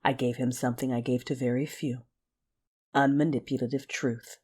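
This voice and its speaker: A woman playing a passionate, fierce but wounded Sith warrior, narrating her own story in an intimate, breathy audio-drama style. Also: a bass voice, flat delivery, normal speed, a little mellow. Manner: intimate, breathy, intense delivery.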